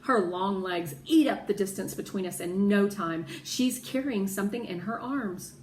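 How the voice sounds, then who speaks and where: A woman reading a children's story aloud with expressive narration. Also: medium pitch, moderate pace. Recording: roomy echo with clear background noise.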